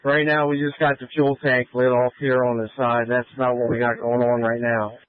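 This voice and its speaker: A man's voice: mid-range voice, level tone, normal speed, no echo. A man working as a fire operations officer, talking in clipped procedural phrases over a two-way radio during an emergency. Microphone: radio handset. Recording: tinny narrowband fire radio transmission.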